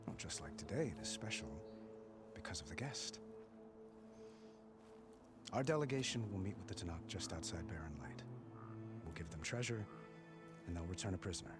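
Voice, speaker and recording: Young monarch, video game: low voice, formal, gracious, earnest. Music behind. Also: male voice, lively intonation. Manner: formal, courteous, measured. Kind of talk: dramatic video game dialogue.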